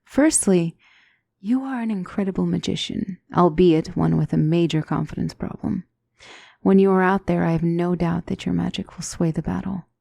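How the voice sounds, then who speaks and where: A woman playing a gentle demonic mentor in an ASMR fantasy roleplay, speaking softly with formal, measured, reassuring diction and often near a whisper. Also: fairly low pitch, creaky, no room sound, clean studio sound. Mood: calm reassurance. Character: gentle demonic tutor, female mentor to a young royal.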